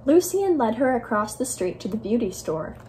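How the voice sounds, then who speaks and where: Animated American woman reading to children. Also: fairly high pitch, normal speed, slight background noise. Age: young adult. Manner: expressive, careful enunciation for children. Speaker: library storyteller. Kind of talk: children's storytime read-aloud. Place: living room at home, indoors.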